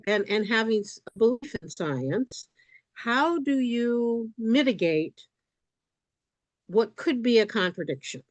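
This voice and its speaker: A woman asking a careful, measured question at an online event. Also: a little high, animated, no echo. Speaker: audience member asking a question. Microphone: video call.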